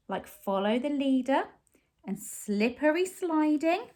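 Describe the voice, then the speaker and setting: A woman's voice: sits high, big pitch swings, slow pace, darkish tone. A woman reading a picture book aloud expressively, putting simple questions and explanations to young children. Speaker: children's story reader.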